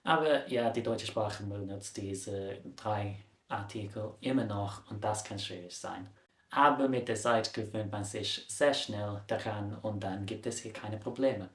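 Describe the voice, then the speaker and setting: Casting note: a low-voiced man, a casual vlog-style explainer, recorded in an echoey room. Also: unhurried, fairly bright tone. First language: English. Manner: chatty, corrects his own slips with a laugh. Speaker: language vlogger. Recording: roomy echo.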